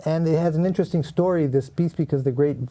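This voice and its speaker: A man's voice: a little high, warm tone. Earnest man with a delivery. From Italy. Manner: earnest, slightly halting non-native phrasing. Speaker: guest violinist. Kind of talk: studio interview answers.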